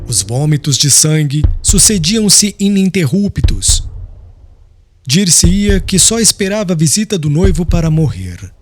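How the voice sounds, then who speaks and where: Casting: male audiobook narrator, slightly high voice, very varied intonation, solemn dramatic reading over soft music.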